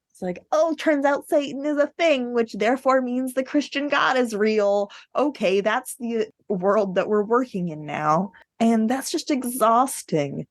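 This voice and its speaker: A woman's voice: a little high, a little mellow, no room sound, studio quality. A woman co-hosting a podcast, talking casually and bluntly about books with strong opinions. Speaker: podcast co-host. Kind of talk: casual book discussion.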